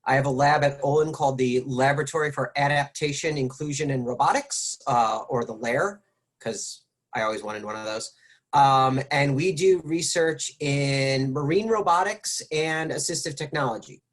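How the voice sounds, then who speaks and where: A man's voice: mid-range voice, moderate pace. A man who is a professor, introducing himself with a light, joking touch. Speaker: professor and panel moderator. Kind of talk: self-introduction.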